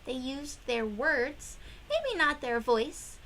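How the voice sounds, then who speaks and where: A woman reading a picture book aloud to young children, with expressive emphasis and questions to her listeners. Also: high-pitched, slow pace, slight background noise. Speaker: children's read-aloud host.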